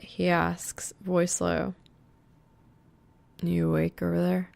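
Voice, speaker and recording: Female audiobook narrator, lowish creaky voice, unhurried and measured, very close dry sound. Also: no echo. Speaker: fan fiction audiobook reader. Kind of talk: scripted narration of a romantic story.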